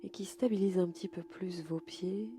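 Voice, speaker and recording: A woman guiding a relaxation session slowly and calmly, in a soft, breathy voice that often nears a whisper. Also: on the low side, background noise. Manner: calm, soothing, unhurried. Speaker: podcast host and yoga nidra guide. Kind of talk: slow, soft guided relaxation.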